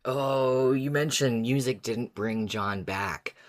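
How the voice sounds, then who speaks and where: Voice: medium pitch, slow pace. A podcast co-host chatting casually about a story, musing and thinking aloud.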